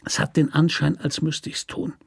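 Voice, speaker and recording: An actor playing a hard, resentful convict in a radio play, with a nasal, whining, hissing voice that pleads and threatens. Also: male voice, on the high side, completely flat delivery, moderate pace, creaky, slightly rough, no echo, studio quality, full character voice. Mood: wary pleading. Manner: pleading, aggrieved, snarling threats. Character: hard, lean male convict, resentful, cornered, whining and menacing.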